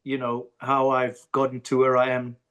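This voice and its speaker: An older man from South Africa with a South African accent, a podcast guest who talks in a halting way and often repeats words as he starts a thought. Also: medium pitch, completely flat delivery, fairly bright tone, muffled recording. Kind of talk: casual interview talk.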